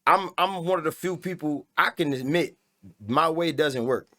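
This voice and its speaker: Emphatic slangy man with a higher-set voice. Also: normal speed, bright, forceful tone. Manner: emphatic, repeats himself for emphasis. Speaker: rapper in a podcast conversation. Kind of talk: casual unscripted chat, slangy debate.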